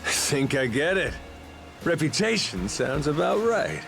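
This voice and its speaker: An actor playing a brash, good-humored pirate captain in a video game, with a swaggering delivery. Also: male voice, on the high side, big pitch swings, unhurried, vocal fry, fairly bright tone, faint music behind, exaggerated voice. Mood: amused.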